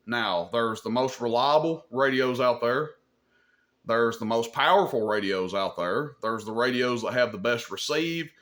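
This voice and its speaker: A man's voice: mid-range voice, fairly bright tone. Plainspoken man explaining radio gear off the cuff. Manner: emphatic, repeats points, checks in with "okay?"; plainspoken, folksy wording. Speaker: CB radio shop video host. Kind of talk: unscripted explainer talk to camera.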